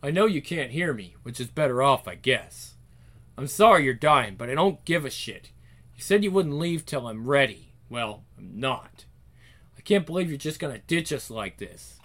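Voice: mid-range voice, animated, normal speed, a bit bright, voice pushed down. A performer voicing a troubled, sarcastic, self-conscious young teenage girl in a dramatic inner monologue for a story. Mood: bitter, hurt.

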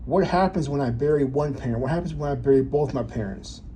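Guy in his 30s vlogging, mellow tone, halting and thoughtful, lots of ums and restarts. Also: medium pitch, a little hiss or hum. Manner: halting, reflective, many restarts and fillers. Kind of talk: personal reflective vlog.

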